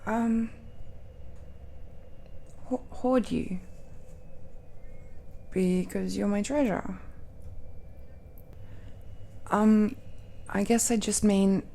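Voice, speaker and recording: Romance audio roleplay: a woman as a possessive, adoring dragon-blooded girlfriend. Creaky low-key murmur, halting, tender delivery, extremely unhurried. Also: steady tone, poor audio. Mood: shy, hesitant. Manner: hesitant, halting, with breathy murmurs and soft laughs.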